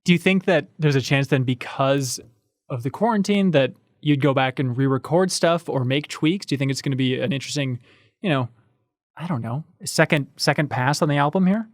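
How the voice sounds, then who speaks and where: A man's voice: mid-range voice, brisk, dry recording, studio quality. A man hosting a podcast, asking loose, joking questions with plenty of fillers. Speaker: podcast host. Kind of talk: casual interview questions.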